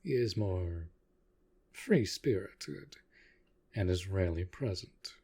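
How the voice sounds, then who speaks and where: Man acting as deep, menacing aristocratic vampire master. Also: expressive, heavily acted. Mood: formal, composed. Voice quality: breathy, often hushed low voice. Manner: formal, deliberate, menacing. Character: ancient aristocratic male vampire master, possessive, coolly menacing. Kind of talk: dramatic roleplay audio acting.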